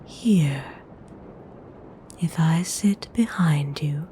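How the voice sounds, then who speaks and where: A woman voicing a centuries-old, courteous and possessive female vampire noble in an intimate, formal ASMR roleplay, whispering in a husky, breathy voice. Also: low-pitched, mellow, a little hiss or hum. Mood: doting. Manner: slow, measured, formal, intimate.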